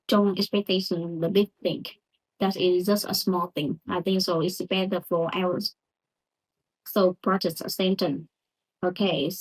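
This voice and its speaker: A woman's voice: medium pitch, slightly rough, poor audio. A woman with a Vietnamese accent, a Vietnamese native speaker, giving a hesitant pronunciation practice lesson in broken English with many restarts. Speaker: English learner vlogger.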